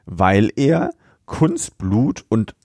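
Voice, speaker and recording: Male podcast co-host, lower voice, wry and matter-of-fact, dry close mic. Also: sing-song, very slow, creaky, a little mellow, dry recording. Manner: chatty, keeps notes, wry and matter-of-fact. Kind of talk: casual review chat.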